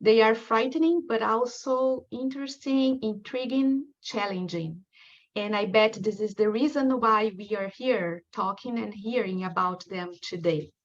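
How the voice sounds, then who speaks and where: A woman from Brazil with a strong Brazilian accent, a Portuguese speaker giving a prepared academic lecture in a careful, measured way with frequent ums. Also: medium pitch, lo-fi sound. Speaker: guest lecturer.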